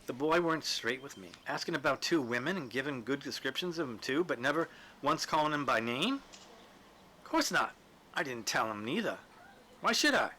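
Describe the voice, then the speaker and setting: Storybook rustic veteran, acted by a male reader: shrewd, folksy, blunt, kindly underneath, with a forceful bright edge. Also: medium pitch, lively intonation, moderate pace, a little hiss or hum. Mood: defensive, indignant. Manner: folksy, dialect-laden, blunt. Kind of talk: storybook character dialogue.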